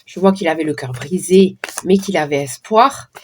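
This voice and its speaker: Hoarse middle-aged woman, casual card reader, chatty asides. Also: fairly low pitch, moderate pace, darkish tone, a little hiss or hum. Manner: chatty, talks to viewers while shuffling cards. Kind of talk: casual card-reading livestream talk.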